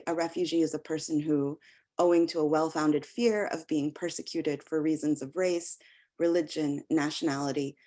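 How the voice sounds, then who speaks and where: Low-voiced American woman lecturing. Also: darkish tone. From United States. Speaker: academic researcher giving a lecture. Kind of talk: lecture with slides.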